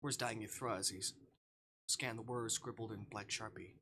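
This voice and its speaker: A man's voice: on the low side, steady tone, moderate pace, pushed and bright, slight background noise. A man live streaming, expressively reading a visual novel aloud with casual asides and stumbles as he skims.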